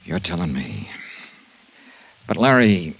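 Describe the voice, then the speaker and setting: An actor playing a tough, dry insurance investigator in a 1950s radio detective drama, clipped and hard-boiled. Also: male voice, low voice, sing-song, slow pace, vocal fry, fairly bright tone, slightly rough, lo-fi sound, exaggerated voice. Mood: probing. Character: tough, dryly narrating male insurance investigator. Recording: old radio recording with music.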